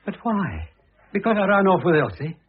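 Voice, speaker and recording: Conceited middle-aged ex-husband, radio play: very rough husky voice, indignant swoops, muffled narrow old recording. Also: male voice, high-pitched, big pitch swings, normal speed, exaggerated voice. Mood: baffled, pressing. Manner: pompous, blunt, indignant. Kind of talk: vintage radio drama acting.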